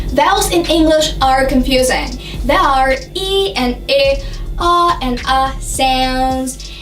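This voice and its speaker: A woman's voice: sits high, roomy sound, distant mic. A young woman with a Russian accent, earnestly explaining English pronunciation tips step by step to the camera. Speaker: English learning vlogger. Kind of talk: scripted to-camera advice. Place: dim room with lamp and globe.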